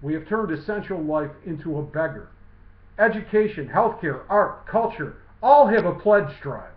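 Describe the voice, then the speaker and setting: A man reading his own literary essay aloud in a measured, declamatory way. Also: fairly high pitch, animated. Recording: muffled lo-fi, roomy echo.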